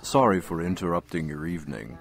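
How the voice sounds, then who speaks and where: An actor playing a worried man who believes he has been poisoned, speaking in a low, subdued way in a radio play. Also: animated, brisk, vocal fry, faint music behind. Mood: uneasy. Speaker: patient.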